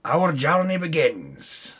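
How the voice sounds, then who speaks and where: A man's voice: fairly high pitch, big pitch swings, takes it slowly, fairly bright tone, breathy edge. A man giving a mock-solemn, theatrical dramatic reading as the storyteller of a tabletop campaign, pompous with comic self-correcting asides.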